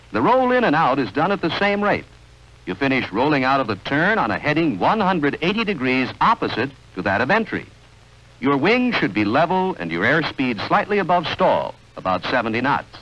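A man reading scripted 1940s training film narration in a measured, instructional, clearly enunciated manner. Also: on the high side, fairly bright tone. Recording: dull, band-limited vintage film soundtrack.

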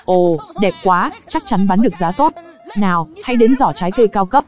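A woman voicing a brisk, bossy farmer in an animated cartoon, matter-of-fact in manner. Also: mid-range voice, faint music behind, close to an everyday voice. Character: brisk, bossy female farmer handling talking crops. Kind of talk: animated cartoon dialogue dub.

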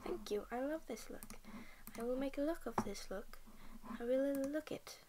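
A woman's voice: high voice, moderate pace, noisy background. A young woman from Norway, just woken up and tired, rambling through casual game commentary at her computer, often half-whispering and stopping mid-sentence. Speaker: game vlogger.

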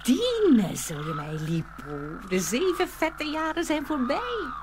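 A menacing snake-woman witch from an audio fairy tale. She is sly and mocking, taking her time over each word and swinging widely in pitch. Swampy ambient noise sits clearly behind her. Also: huge pitch swings, exaggerated voice. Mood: cackling, gloating. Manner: slow, drawn-out, taunting delivery.

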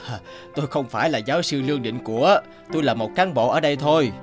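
A man's voice: medium pitch, quick pace, close to an everyday voice. A man voicing a matter-of-fact older institute official in a cartoon. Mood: businesslike. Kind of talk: dubbed cartoon dialogue. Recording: music behind the voice. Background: music.

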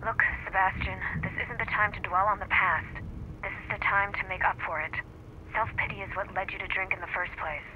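A stern agent over radio. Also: female voice, mid-range voice, fairly even intonation, a little fast, lo-fi sound, background noise. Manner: firm and blunt. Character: brisk, stern female agent on a radio link.

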